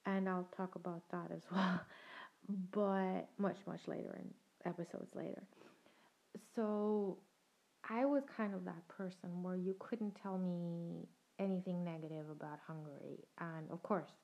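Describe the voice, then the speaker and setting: A woman's voice: lowish voice, fairly even intonation, measured pace, creaky. A young adult woman hosting a podcast, telling her own story in a reflective, conversational way and laughing now and then. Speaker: podcast host. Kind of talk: personal storytelling monologue. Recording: dull lo-fi sound.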